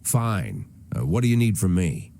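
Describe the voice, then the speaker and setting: An aging American police chief in a video game, low and dark-toned, with a gruff, sarcastic edge. His pitch moves around a great deal as he needles people. A faint noise sits behind him. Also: sing-song, measured pace, exaggerated voice. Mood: weary, businesslike. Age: older. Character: jaded, sardonic aging male police chief. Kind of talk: naturalistic video game voice acting.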